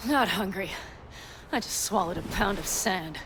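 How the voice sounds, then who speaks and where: An actress playing a tough young nomad woman in a cinematic video game, blunt, wry and quick to take charge, with loud ambience behind her. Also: mid-range voice, expressive, noisy background, lightly acted. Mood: dry, practical.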